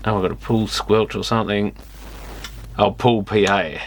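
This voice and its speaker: Male, lowish voice, casual hands-on vlogger muttering as he tinkers; noisy camera-mic audio with clicks. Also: fairly even intonation, moderate pace. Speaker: electronics repair vlogger. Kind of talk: casual off-the-cuff commentary while inspecting gear. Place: electronics repair workshop.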